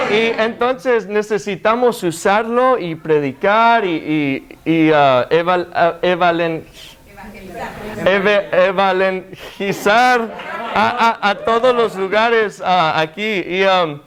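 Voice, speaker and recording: A man's voice: high voice, slow pace, crowd noise. A young American man whose first language is English, preaching a hesitant sermon in a second language with many fillers and restarts, speaking to a congregation. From United States. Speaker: guest preacher.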